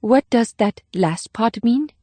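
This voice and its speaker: A woman's voice: on the high side, expressive, takes it slowly, warm tone, dry recording. A woman voicing an eager, innocent little girl in audiobook dialogue. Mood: eager, curious.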